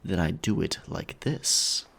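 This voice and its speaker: An actor playing a teasing, self-assured pirate sailor in an audio drama. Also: male voice, takes it slowly, fairly bright tone. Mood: serious, instructive.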